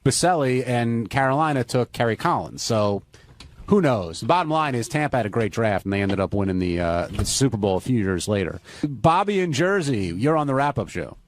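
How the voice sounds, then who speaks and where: A man's voice: mid-range voice, sing-song, fairly quick. A man hosting a call-in radio show in a casual, conversational style.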